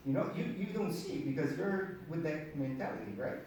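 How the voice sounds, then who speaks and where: A man's voice: fairly high pitch, very animated, a little fast, darkish tone. A man from Brazil with a Brazilian accent and Portuguese as his native language, giving an informal, conversational presentation at a meetup, repeating words for emphasis. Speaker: speaker at a career networking meetup. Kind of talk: informal presentation to a live group. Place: indoor meetup room with an audience. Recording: very echoey room, distant mic, faint noise.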